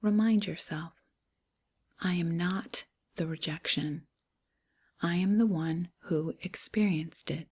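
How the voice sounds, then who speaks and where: Slow, breathy woman guiding meditation. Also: on the low side. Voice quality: breathy, hushed, near-whisper. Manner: gentle, unhurried phrasing with pauses. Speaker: meditation guide. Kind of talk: guided meditation, soft and soothing.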